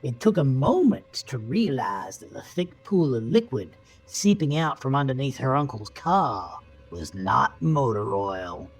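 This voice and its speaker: Slow, suspenseful male horror narrator over music. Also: mid-range voice, sing-song, slightly rough, low-quality recording. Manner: deliberate, suspenseful storytelling. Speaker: audiobook-style narrator. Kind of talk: dramatic scripted horror narration. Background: music under the voice.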